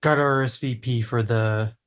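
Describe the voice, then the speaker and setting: A polite, awkward middle-aged stepdad, read by a man who hedges and placates as he talks. His voice sits at an ordinary male pitch. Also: steady tone, a little slow, natural voice. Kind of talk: amateur table read.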